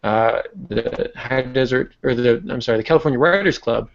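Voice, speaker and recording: A man's voice: medium pitch, normal speed, creaky. A man hosting a podcast in a casual, easygoing, lightly joking way. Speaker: podcast host. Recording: muffled lo-fi online-call audio.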